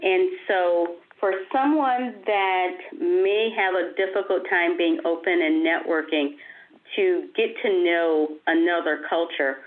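A woman's voice: on the low side, slow. A woman hosting an interview, chatting in a conversational way with frequent restarts and repeated words. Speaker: host. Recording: tinny phone line.

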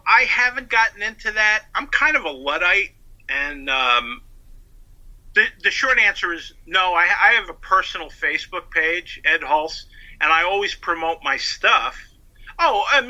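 Bright, forceful man on a dull phone line. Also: high voice, sing-song, moderate pace. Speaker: guest author, publisher and pulp historian. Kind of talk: interview answers, explaining at length.

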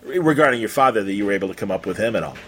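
A man's voice: lowish voice, lively intonation, racing, a bit bright, a little hiss or hum. A middle-aged man hosting a casual interview in an informal, rambling way, circling around his questions. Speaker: radio host interviewing an author.

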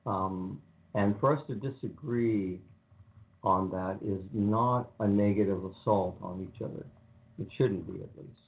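A man co-hosting a radio talk show, speaking in a calm, even, rambling way with many ums. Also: lowish voice. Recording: phone-like narrow sound.

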